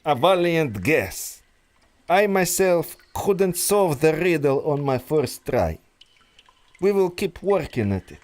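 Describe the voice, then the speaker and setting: A man's voice: fairly high pitch, very animated, takes it slowly, darkish tone. An actor playing a suave, scheming male villain who speaks in a condescending, theatrical way. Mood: smug.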